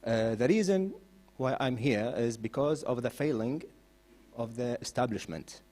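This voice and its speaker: A man originally from Iraq, standing as a candidate and giving a forceful hustings speech in a mosque hall, with frequent "uh" fillers as he builds to emphatic points. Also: mid-range voice, expressive, unhurried. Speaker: election candidate.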